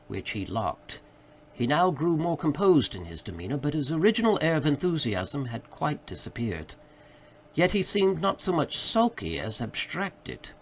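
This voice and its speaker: A man reading literary fiction aloud as an audiobook narrator, with expressive, scripted storytelling delivery. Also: medium pitch. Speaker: audiobook reader. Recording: faint background noise.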